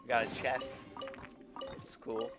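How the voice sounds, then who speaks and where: A man's voice: medium pitch, flat delivery, slow, over music. A man streaming a game live, reacting casually with hesitant, murmured commentary and repeated words.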